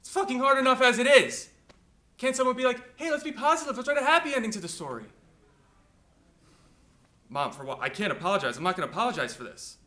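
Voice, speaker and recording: A man's voice: medium pitch, expressive, racing, hardly any pauses, pushed and bright. A young male student actor playing an agitated, exasperated son in an indignant, emphatic monologue that doubles back on itself. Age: young adult. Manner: indignant, emphatic, repeats himself. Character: agitated, exasperated grown son ranting to parents. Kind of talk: performed film monologue.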